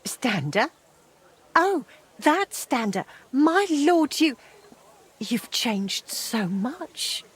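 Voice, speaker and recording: A mature, forgiving townswoman in a game drama. Her voice is husky, with lively rises and falls. She is brisk and no-nonsense, yet kindly. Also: sits high, full character voice. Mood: surprised. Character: forgiving, brisk older townswoman, self-described old crone.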